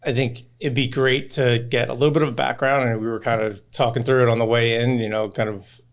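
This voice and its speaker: A man's voice: medium pitch, level tone, fairly quick. A man hosting an interview, speaking casually and hesitantly with many fillers and restarts. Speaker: interview host.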